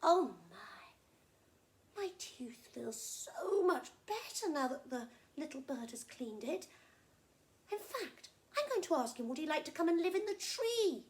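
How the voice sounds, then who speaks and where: A woman's voice: high-pitched, big pitch swings, unhurried, pitched above the performer's own voice. A woman voicing an emotional, repentant crocodile in a children's story, pleading and stammering as it exclaims. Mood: excited, generous.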